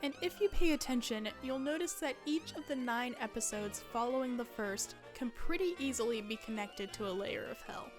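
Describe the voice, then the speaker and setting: Woman, twenties, higher-pitched, casual scripted narration, conversational, wry, soft music underneath. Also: animated, moderate pace, hardly any pauses. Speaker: video essayist.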